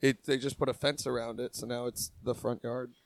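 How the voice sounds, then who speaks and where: A man's voice: medium pitch, flat delivery, fairly quick, no room sound. A man hosting a casual podcast, joking and laughing with friends, often restarting his sentences. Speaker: podcast host.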